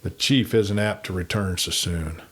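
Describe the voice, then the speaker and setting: A man voicing a calm, confident outlaw in a western audiobook, speaking in a composed, articulate way. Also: sits low, measured pace, breathy edge, heavily acted. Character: Lee Haines, calm, confident male outlaw.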